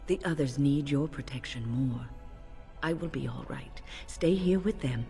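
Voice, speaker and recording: Mellow, composed older woman mage. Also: very deep voice, unhurried, gravelly, exaggerated voice. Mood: calm reassurance. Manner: composed, reassuring. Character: calm, kindly, dutiful older woman mage. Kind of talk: video game voice acting. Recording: long hall reverb, faint game music.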